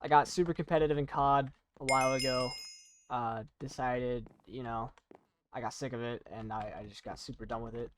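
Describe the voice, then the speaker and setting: A young adult gamer talks casually over gameplay at a medium pitch. The delivery rambles and halts, with self-deprecating asides, and there is noise behind the voice. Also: steady tone, fairly quick. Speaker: YouTuber playing and commentating on a game. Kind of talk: casual gaming commentary and chat.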